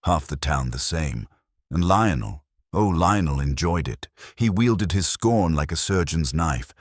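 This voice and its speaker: A man voicing a cold, scornful woman, lively pitch. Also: no room sound, clean studio sound, full character voice. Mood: sharp, bitter. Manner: sharp, cold, deliberate. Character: cold, proud, scornful, composed woman schemer. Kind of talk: dramatised mystery storytelling.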